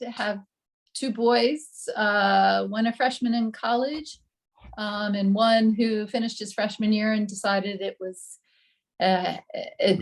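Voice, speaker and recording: A woman in her forties gives a casual self-introduction over a video call. Her pitch sits in the ordinary middle range, and she talks in an easygoing way, pausing often and filling the gaps with ums. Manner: relaxed, many ums and pauses. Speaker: new member introducing herself.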